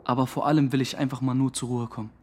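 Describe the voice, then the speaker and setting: A man's voice: medium pitch, flat delivery, very fast, warm tone, lightly acted. An actor playing a slangy, earnest young man who feels hurt and defiant, in a naturalistic radio drama. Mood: earnest, calm. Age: young adult. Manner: slangy, earnest, plain-spoken. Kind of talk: interview monologue in a naturalistic German radio drama.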